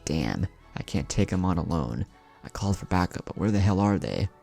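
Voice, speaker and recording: A man's voice: low voice, very animated, fairly quick, gravelly, mellow, soft background music, exaggerated voice. A man voicing a wounded, defiant male superhero in a story, strained and urgent. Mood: desperate.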